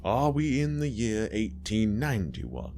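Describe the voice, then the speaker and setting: A prim, haughty old gentleman , as a narrator puts him on, asking fussy, formal questions with clipped condescension. His voice is lifted a little above the narrator's own. Faint music plays behind. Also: on the low side, sing-song, a little slow, pitched above the performer's own voice.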